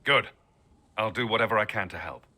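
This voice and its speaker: A deep-voiced grim veteran adventurer. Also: male voice, a little fast. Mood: determined. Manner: firm, steady, determined. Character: grim seasoned male adventurer, resolute. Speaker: former adventurer helping the Inquisitor.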